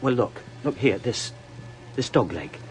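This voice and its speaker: A man playing an agitated, fanatical scientist at a polar research station in an audio drama, halting and stammering as he explains intensely. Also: mid-range voice, expressive, faint music behind, close to an everyday voice. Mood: uneasy, explaining.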